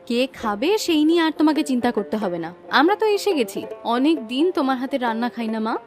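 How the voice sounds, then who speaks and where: Woman playing high-voiced, caring young cartoon daughter. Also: very animated, a little fast, a little mellow, soft background music. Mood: cheerful, teasing. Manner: fond, chiding. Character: young married daughter, caring and a little bossy. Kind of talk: Bengali cartoon dialogue dub.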